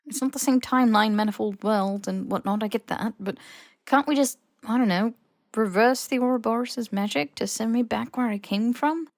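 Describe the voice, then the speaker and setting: A woman plays a weary male officer in a story reading. He sounds exasperated and blunt, with informal, halting, insistent phrasing and a creaky edge. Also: on the high side, no echo, clean studio sound, pitched above the performer's own voice, lightly acted. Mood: exasperated pleading.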